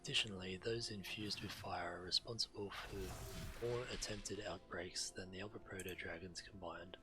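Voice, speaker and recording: A man voicing an impassive ancient facility computer in a video game, giving measured, clinical announcements and records. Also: deep voice, steady tone, over music, natural voice. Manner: measured, clipped status announcements.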